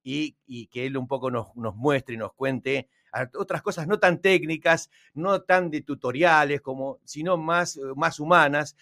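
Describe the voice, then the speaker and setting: A man's voice: fairly high pitch, a little slow, creaky, hardly any pauses, sharp tone, no echo. Argentine male interviewer, close dry sound. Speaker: show host, interviewer. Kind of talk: conversational interview.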